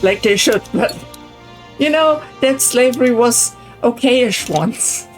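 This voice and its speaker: A woman who speaks English as a second language, calmly explaining game mechanics in a matter-of-fact way. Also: on the high side, slow, soft background music. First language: not English. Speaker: co-player in a streamed game session. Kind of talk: casual chat.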